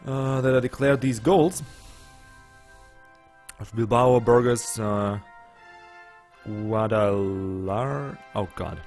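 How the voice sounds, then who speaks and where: A man's voice: fairly low pitch, slow, pauses often, a little mellow, soft background music. A young man, not a native English speaker, casually commenting on his strategy game as he plays, thinking aloud and joking with his units. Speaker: let's play host.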